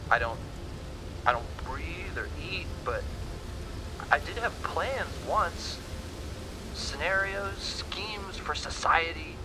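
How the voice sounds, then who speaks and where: A man's voice: a little high, animated. Weary immortal man, noisy recording. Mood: resigned, brooding. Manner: halting, philosophical, formal diction. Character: weary immortal man musing on his shapeless endless life. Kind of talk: dramatic radio play monologue.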